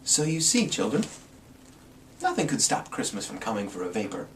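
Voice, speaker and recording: A man reads a rhyming story aloud with theatrical, playful emphasis. His pitch is highly animated, rising and dropping for drama. The mic is some distance away and picks up faint background noise. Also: moderate pace. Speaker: amateur storyteller. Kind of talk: dramatic storybook reading in rhyme. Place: indoors, in front of a green screen.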